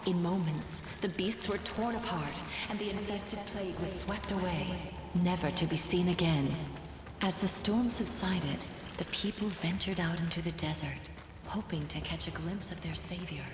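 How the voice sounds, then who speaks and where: A woman's voice: lowish voice, background noise, close to an everyday voice. Woman as solemn, echoing storyteller of desert legends. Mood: dramatic, grave. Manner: measured, grave, dramatic. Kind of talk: scripted mythic narration in a video game.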